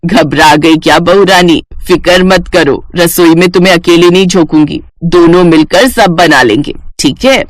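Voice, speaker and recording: A woman's voice: fairly low pitch, normal speed, hardly any pauses, dry recording, natural voice. A woman voicing a middle-aged mother-in-law in a moral story, gushing in company and privately exasperated. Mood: bossy, friendly. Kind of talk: animated Hindi story dialogue.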